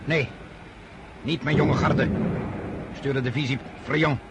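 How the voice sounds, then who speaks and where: An actor in a radio drama playing a commanding, middle-aged emperor who is curt, impatient and self-assured, given to muttered asides. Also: male voice, medium pitch, steady tone, slow, close to an everyday voice. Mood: brooding, weary. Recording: noisy battlefield radio drama with artillery.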